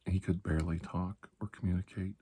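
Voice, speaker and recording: A man playing a calm, kindly doctor in a soft-spoken ASMR roleplay, reassuring and deliberate, with long pauses and breathy slips into whispering. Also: deep voice. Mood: hushed, confiding. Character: calm, kindly, ageless male doctor of alien medicine.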